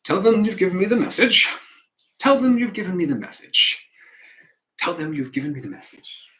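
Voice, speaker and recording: A man's voice: on the high side, sing-song, normal speed, bright, forceful tone, rough recording. A man rehearsing stage dialogue as a stern, dismissive upper-class father, pompous and brusque.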